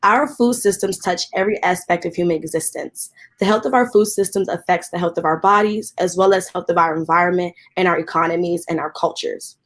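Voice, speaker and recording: A woman's voice: fairly low pitch, fairly quick, few pauses. Young woman moderating a panel. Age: young adult. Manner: friendly, reads prepared background. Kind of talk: hosting a video-call panel.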